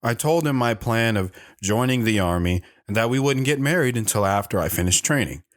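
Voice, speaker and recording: A man's voice: on the low side, a bit bright, dry recording, clean studio sound. An actor playing an older, reflective, world-weary man telling his life story in an audio drama.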